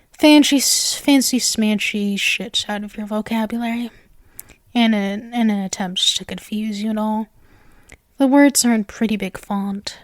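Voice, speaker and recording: Woman acting a sweet, clingy witch, unhurried, dry sound. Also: fairly high pitch, no room sound. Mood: coaxing, eager. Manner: coy, halting, rambling, self-assured. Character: sweet, clingy, possessive yandere witch, playfully smug and nervous. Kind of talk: ASMR roleplay audio, addressing the listener intimately.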